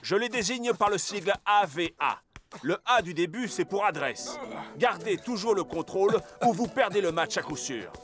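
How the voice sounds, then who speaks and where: A high-voiced, tough cartoon coach. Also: male voice, lively intonation, moderate pace, a bit bright, soft background music. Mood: lecturing. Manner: firm, lecturing, drill-like. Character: tough, commanding male football coach. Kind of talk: French cartoon dub acting.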